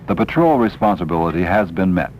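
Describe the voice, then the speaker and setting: A deep-voiced man narrates a 1970s training film. His delivery is formal and measured, with deliberate emphasis on each phrase of the script. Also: expressive, crowd noise.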